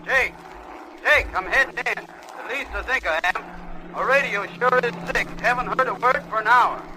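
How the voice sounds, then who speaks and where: Over a clearly noisy old recording with engine drone comes a brash, impatient pilot flying blind. His voice is very high and clipped. He barks slangy calls in 1930s radio-drama fashion. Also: normal speed, exaggerated voice. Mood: tense, exasperated. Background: loud aircraft engine drone.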